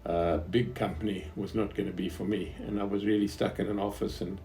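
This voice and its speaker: A man's voice: on the low side, fairly even intonation, warm tone. A man in his seventies from Zimbabwe, with a Southern African accent, telling his life story off the cuff in a hesitant, reflective way. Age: 70s. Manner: hesitant, reflective, halting phrasing. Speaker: businessman recounting his life. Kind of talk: unscripted autobiographical storytelling to an interviewer.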